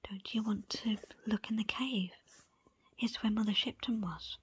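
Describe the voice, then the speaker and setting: Woman playing a gentle coaxing foster mum, unhurried breathy whisper, storybook reading, very dry. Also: medium pitch, fairly even intonation, dry recording. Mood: placating. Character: patient, placating adult foster mother, gently coaxing. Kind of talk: whispered audiobook character acting.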